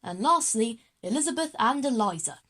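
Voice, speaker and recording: A woman's voice: fairly high pitch, lively intonation, moderate pace, a bit bright. A woman voicing a formal, welcoming male headmaster making announcements in a children's audio drama.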